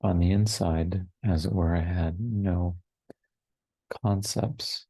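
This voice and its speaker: A man in his forties leading a guided meditation in a hushed, unhurried way, with long pauses between short phrases. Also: deep voice, fairly even intonation, slightly rough, no echo. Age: 40s. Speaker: meditation teacher. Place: online retreat over video call, dry close sound.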